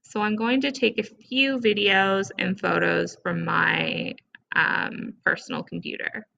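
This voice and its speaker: A woman presenting a casual step-by-step software tutorial in an informal, conversational way, recorded with dull, lo-fi sound. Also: mid-range voice, fairly even intonation, unhurried, vocal fry.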